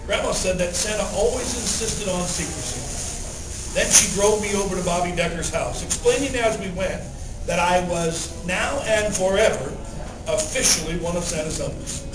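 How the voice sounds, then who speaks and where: High-voiced man reading a Christmas story. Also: normal speed, noisy background. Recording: roomy, distant mic, music underneath. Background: music.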